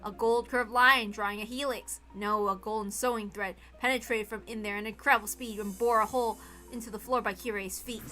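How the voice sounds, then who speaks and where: A woman's voice: fairly high pitch, steady tone, a little fast, hardly any pauses, pushed and bright. A woman reading a visual novel aloud as a dramatic story narrator. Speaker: amateur story reader. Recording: music behind the voice. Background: clear background music.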